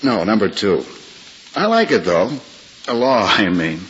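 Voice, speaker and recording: An actor playing a kind, easygoing young lawyer and fellow patient with an American accent, casual and reassuring, in 1950s radio drama style. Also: male voice, on the low side, sing-song, a little slow, husky, heavily acted. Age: young adult. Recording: hissy, muffled, reverberant old radio recording.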